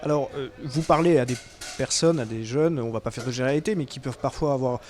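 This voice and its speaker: A man's voice: mid-range voice, quick pace, a little mellow. A man interviewing a guest, asking polite questions hesitantly, with fillers.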